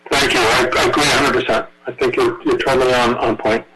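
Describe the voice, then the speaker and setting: A man from Brooklyn, New York, chairing a public meeting over a video call, speaking haltingly with many fillers. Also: mid-range voice, steady tone, music in the background, low-quality recording. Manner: halting, many filler words, effusively thankful. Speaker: commission chair.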